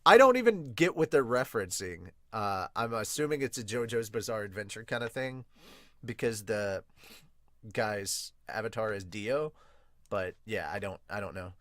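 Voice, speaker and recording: A man's voice: fairly low pitch, expressive, moderate pace, fairly bright tone, no room sound, clean studio sound. A man hosting a manga review podcast, talking casually with gushing fan enthusiasm and some mild swearing.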